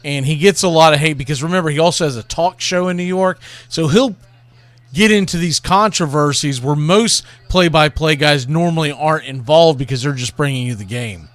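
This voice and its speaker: A man's voice: on the high side, fairly bright tone, clean tone, dry recording. A man hosting a baseball show, reminiscing and commenting in a rambling, conversational way about classic calls. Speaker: baseball radio show host.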